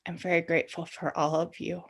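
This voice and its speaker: A woman's voice: fairly low pitch, flat delivery, brisk, breathy edge. A woman leading a guided meditation in an informal, conversational and inviting way, as the guide of the session.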